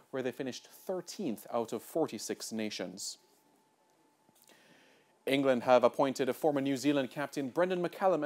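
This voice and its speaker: A male Jamaican sports presenter reading scripted news copy. His pitch sits in the middle range for a man, and he delivers results and fixtures as a broadcaster would. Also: darkish tone.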